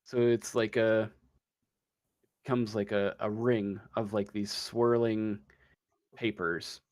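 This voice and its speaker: A man improvising narration as game master of a tabletop roleplaying game, often pausing mid-sentence as he goes. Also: fairly low pitch, steady tone, no echo, clean studio sound.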